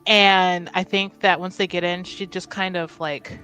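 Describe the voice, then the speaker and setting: A woman's voice: fairly low pitch, little pitch movement, unhurried, bright, forceful tone, soft background music, rough recording. A young woman player thinking aloud as she describes her character's actions in a tabletop game, hedging often.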